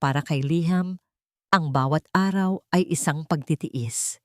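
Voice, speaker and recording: A woman narrating a scripted drama story in an expressive, suspenseful way. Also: low-pitched, a little slow, no room sound, studio quality.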